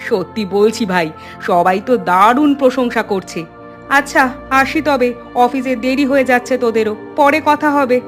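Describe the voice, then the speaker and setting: A woman voicing a friendly, admiring village neighbour in an animated story. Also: fairly high pitch, moderate pace, soft background music, natural voice.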